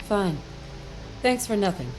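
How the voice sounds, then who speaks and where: A woman's voice: medium pitch, very animated, takes it slowly, over music, low-quality recording. An actress playing a determined, pleading mother searching for her child in a video game. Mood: bitter. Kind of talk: video game dialogue acting.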